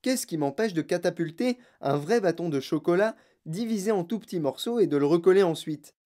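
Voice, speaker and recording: A narrator voicing an excitable, bossy, eccentric male chocolate factory owner in a children's audiobook, with exclamatory, theatrical commands. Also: mid-range voice, a little fast, close to an everyday voice. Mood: excited, grandiose. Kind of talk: children's audiobook character acting.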